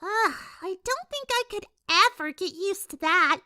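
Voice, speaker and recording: A woman's voice: very high-pitched, lively intonation, measured pace, bright, forceful tone, dry recording, clean studio sound, voice pushed up, exaggerated voice. A woman voicing a perky, earnest young female cartoon pony in a fan fiction reading, speaking emphatically. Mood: relieved.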